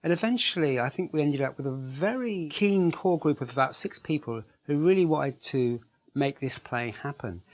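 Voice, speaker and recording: A man's voice: fairly high pitch, animated, normal speed. A man speaking earnestly as a project organiser in an interview, emphatic and repeating himself. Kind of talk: interview testimonial.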